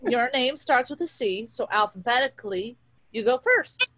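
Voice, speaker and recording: Blunt, crude female ex-con actress, comedy table read; high, forceful, exasperated voice. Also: lively intonation, normal speed, no echo. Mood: bickering, sarcastic.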